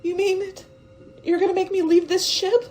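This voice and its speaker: A young woman playing a trusting, childlike stowaway in a radio drama, with a naive, halting, pleading manner. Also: very high voice, flat delivery, a little mellow, faint music behind. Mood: frightened, pleading. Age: young adult.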